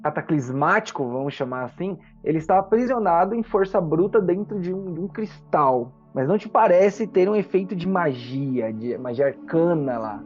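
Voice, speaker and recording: A man's voice: on the high side, expressive, moderate pace, hardly any pauses, darkish tone. A Brazilian man working as game master, describing a fantasy world for a tabletop roleplaying game with conversational tags along the way. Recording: dull lo-fi audio under music. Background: background music.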